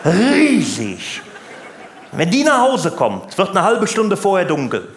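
A man's voice: high voice, wildly swooping pitch, takes it slowly, heavily acted. A man performing stand-up as a chatty, naive, grumbling working-class family man, rambling and exaggerating in a folksy way. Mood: mock-awed storytelling. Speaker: stand-up comedian. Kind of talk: stand-up comedy monologue to live audience. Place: stage in a live comedy venue. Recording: roomy live hall, faint background noise.

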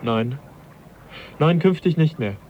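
An actor playing a modest, sceptical young English naturalist in a German radio drama, speaking in a reflective, politely questioning way. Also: male voice, on the high side, animated, takes it slowly. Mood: thoughtful. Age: young adult. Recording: dull, faintly noisy radio drama recording.

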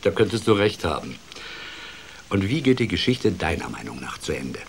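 A man's voice: low-pitched, moderate pace, a little hiss or hum. An actor playing a playful, wry older grandfather telling a fairy tale expressively in a radio play. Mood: wry, conceding. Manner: expressive, dramatic, shifts between hushed and lively. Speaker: storyteller.

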